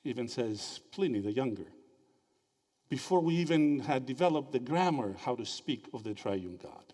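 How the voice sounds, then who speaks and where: A man from Greece giving a lively, interactive theology lecture and quizzing his audience with quick questions. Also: medium pitch. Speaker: theology speaker at a symposium. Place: lecture hall. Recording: reverberant hall.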